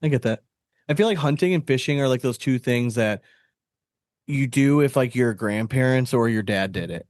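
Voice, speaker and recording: A man's voice: mid-range voice, moderate pace, darkish tone, dry recording, studio quality. A man bantering casually as a podcast co-host, agreeable and loose with swear words.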